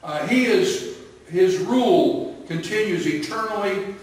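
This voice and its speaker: A man's voice: high-pitched, lively intonation, slow pace, heavy reverb, distant mic, pitched above the performer's own voice, rough recording. A man voicing an anxious ancient king. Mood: proclaiming.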